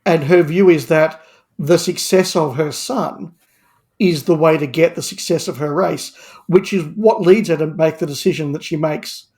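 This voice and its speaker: A man's voice: fairly high pitch, normal speed, darkish tone. A man discussing audio drama on a podcast, speaking emphatically and repeating words as he builds his argument. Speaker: podcast panelist.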